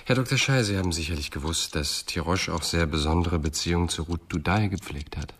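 An actor playing a composed, insistent police detective in a German radio crime drama, asking measured questions. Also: male voice, deep voice, a bit bright, husky. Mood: probing, insistent.

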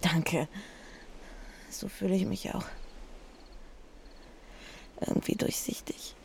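An actress playing a weak, dazed but stubborn young woman in a German radio drama, speaking haltingly with a creaky voice. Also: on the low side, fairly even intonation, slight background noise.

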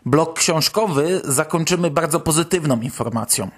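A man with a slightly high voice hosts a podcast. He delivers scripted news items as a presenter, moving through them in an organised narration. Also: moderate pace, breathy edge. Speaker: podcast host.

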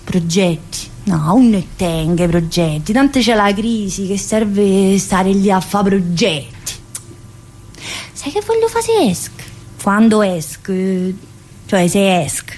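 An actress playing a talkative, needy, restless young mother in prison, in a theatrical monologue with colloquial southern Italian speech and insistent repetitions. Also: on the high side. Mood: bitter, resigned. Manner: colloquial dialect, insistent repetitions, addressing a listener. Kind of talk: theatrical monologue, radio drama.

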